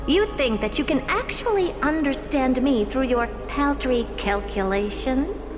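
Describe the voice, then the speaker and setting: A woman's voice: high voice, expressive, a little slow. An actress voicing a poised, haughty woman scholar in a video game, arch, teasing and self-assured. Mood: scornful, amused. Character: poised, haughty long-lived woman scholar, coolly curious. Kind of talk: scripted video game voice acting. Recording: long reverb, clear background music.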